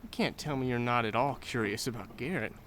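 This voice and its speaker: A man voicing a curious young son who keeps pushing his father with questions in a radio play. His voice sits at a middle pitch, with faint noise behind it. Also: a little fast, a bit bright, natural voice. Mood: pressing. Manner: insistent, questioning. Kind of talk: radio drama acting.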